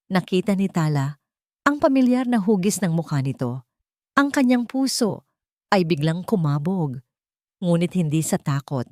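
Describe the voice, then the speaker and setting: A woman narrating a scripted dramatic story with measured, dramatic phrasing. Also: lowish voice, darkish tone, no room sound, clean studio sound.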